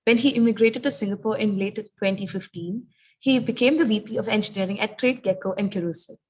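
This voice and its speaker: A woman's voice: mid-range voice, fast talker, breathy edge, low-quality recording. A woman acting as emcee, formally reading out a guest speaker's introduction. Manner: formal, read-out. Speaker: event emcee.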